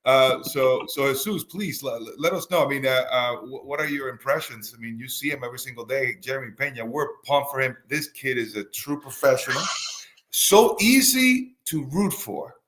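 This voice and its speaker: A man's voice: medium pitch, expressive, moderate pace, a bit bright, rough recording. A man from the US Northeast co-hosting a baseball talk show, enthusiastic and full of fillers. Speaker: baseball podcast co-host. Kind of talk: casual sports chat.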